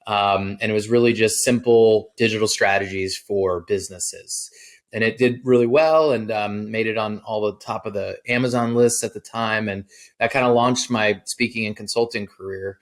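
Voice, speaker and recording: A man being interviewed as a guest, telling his story in a relaxed way with many ums and uhs. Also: lowish voice, moderate pace. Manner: relaxed, filler-heavy, easygoing. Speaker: guest, social media agency founder. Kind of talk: casual interview chat.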